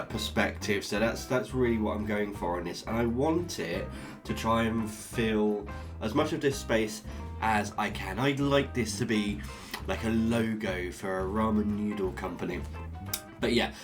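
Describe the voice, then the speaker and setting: Upbeat low-voiced man over guitar music. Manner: upbeat, jokey, chatty. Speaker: art channel host. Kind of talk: casual drawing vlog chat. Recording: guitar music playing underneath.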